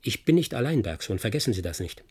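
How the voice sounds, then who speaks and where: An actor in a German radio play playing a defiant, guilt-ridden scientist with a grave, deliberate delivery. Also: male voice, fairly low pitch, very animated, darkish tone, husky. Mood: determined, threatening.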